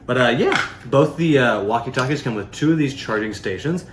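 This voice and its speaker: A man's voice: medium pitch, expressive, brisk. A man giving a casual, unscripted product demonstration in a rambling, informal way, peppered with filler words. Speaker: product reviewer. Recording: echoey room with faint background noise.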